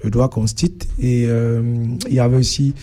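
A young man with a Cameroonian accent giving a personal account as an interview guest, speaking haltingly with many filled pauses. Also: medium pitch, fairly even intonation, mellow. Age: young adult. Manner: hesitant, halting delivery with frequent filled pauses.

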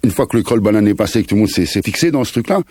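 Deep, low-key man in his 50s. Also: animated, racing, darkish tone, no room sound. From France, Paris suburbs. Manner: rambling, slangy, mixes in Creole phrases. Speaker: musician guest. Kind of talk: casual interview chat.

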